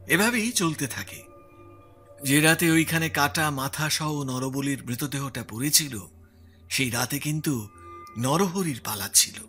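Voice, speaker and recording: Man acting a bright-voiced, troubled village headman. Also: mid-range voice. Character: troubled, respectful village headman explaining grim events. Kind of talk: Bengali audio story acting.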